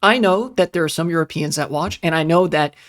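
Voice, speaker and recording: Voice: mid-range voice, brisk. A political streamer giving casual commentary in a ranting, profane, sarcastic way. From United States.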